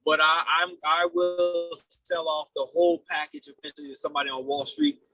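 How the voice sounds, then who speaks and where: A man's voice: a little high, fairly even intonation, a little fast, pushed and bright, no room sound, soft background music, rough recording. A man in his late twenties giving casual, earnest interview answers, rambling and repeating his phrases as he goes. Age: late 20s. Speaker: podcast guest, real estate investor.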